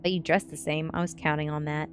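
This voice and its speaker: A youthful bohemian aunt , as a woman narrator plays her, effusive and warm. She chats exuberantly in a storybook reading with faint music behind. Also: sits low, flat delivery, quick pace, natural voice.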